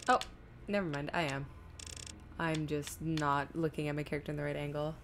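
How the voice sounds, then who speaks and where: A woman's voice: deep voice, little pitch movement, normal speed, a little hiss or hum. A woman streaming a video game, chatting informally and rambling to viewers as she plays. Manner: informal, rambling, muttering to herself while playing. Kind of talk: casual live gaming commentary, chatting to viewers. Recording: game music behind the voice. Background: video game music and sound.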